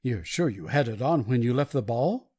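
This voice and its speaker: A woman's voice: a bass voice, expressive, moderate pace, dark tone, breathy edge, exaggerated voice. A woman voicing an anxious, practical husband in a dramatic audiobook reading. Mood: anxious questioning.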